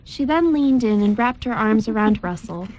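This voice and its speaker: Woman narrator, fairly high voice, careful deliberate story reading, amateur radio play, faint background noise. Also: lively intonation, a little mellow, low-quality recording.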